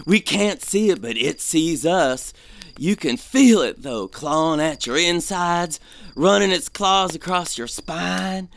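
A man's voice: on the high side, expressive, takes it slowly, vocal fry, a bit bright, dry recording, full character voice. A man playing a fearful, superstitious gold prospector with a folksy, haunted delivery in an audio drama. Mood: haunted dread.

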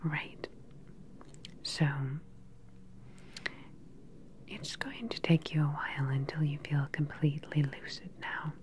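A woman playing a calm, sinister cyborg scientist in an ASMR roleplay, speaking close to the mic in a slow, deliberate, soothing and clinical way. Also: low-pitched. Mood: calm, controlling. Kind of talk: ASMR soft-spoken whispered roleplay. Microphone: close ASMR microphone.

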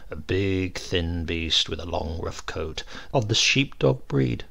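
A man reads a grim short story aloud in a low, gravelly voice. His delivery is grave and measured, with slow, dramatic phrasing.

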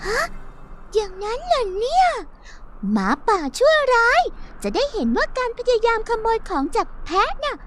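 Very squeaky excitable little goat kid. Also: female voice, moderate pace. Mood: indignant. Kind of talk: children's cartoon dub. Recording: loud music under the voice.

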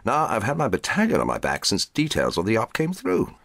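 A man's voice: fairly low pitch, huge pitch swings, brisk, gravelly, a bit bright, dry recording, clean studio sound, voice pushed up, full character voice. A man playing a brusque army major. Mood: impatient. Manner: curt, barking, pressed.

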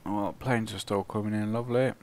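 A man with a croaky, cold-roughened voice, casually commentating his own gameplay and chuckling at his mistakes. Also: fairly low pitch, steady tone, brisk, a little mellow. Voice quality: croaky, gravelly. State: hoarse from a cold. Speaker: let's play host.

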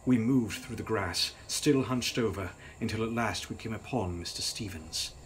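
A man's voice: lowish voice, moderate pace, a little hiss or hum. A young man reading a gothic novel aloud in a grave, theatrical, dramatic style. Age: young adult. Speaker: author reading his novel.

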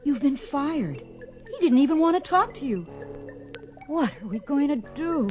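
Exasperated wife and mother, practical, scolding, fairly high voice over music. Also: sing-song, unhurried. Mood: scolding, upset. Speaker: actor. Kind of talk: 1970s dramatised story record acting. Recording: dramatised record with music behind.

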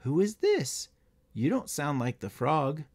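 A man's voice: a little high, big pitch swings, slow, darkish tone, full character voice. A man voicing an uneasy, reluctant schoolboy in a children's story.

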